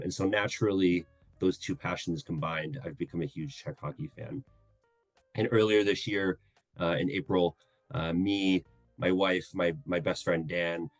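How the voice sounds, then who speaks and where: Deep-voiced earnest man in his thirties. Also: no echo. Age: 30s. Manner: earnest, measured, hesitant. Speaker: guest co-host. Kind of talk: casual podcast introduction.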